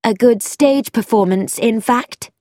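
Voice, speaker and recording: A woman's voice: high voice, animated, normal speed, darkish tone, dry recording, studio quality, pitched above the performer's own voice. A woman reading an audiobook voices a bold young aristocratic woman who speaks in a pointed, hard-edged way. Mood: sharp, pointed.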